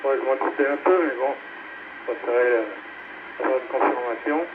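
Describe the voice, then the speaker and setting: A man's voice: mid-range voice, moderate pace. Man chatting over tinny, noisy ham radio. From France. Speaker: amateur radio operator. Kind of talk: casual chat on a ham radio net. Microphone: two-way radio microphone. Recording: noisy narrowband amateur radio relay transmission.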